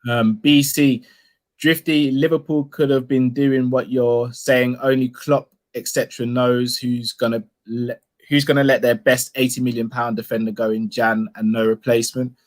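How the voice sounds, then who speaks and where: Host, easygoing comment reader, mid-pitched, calm. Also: male voice, normal speed. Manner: laid-back, chuckling, conciliatory. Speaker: host reading viewer comments. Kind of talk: casual podcast chat, reading comments aloud.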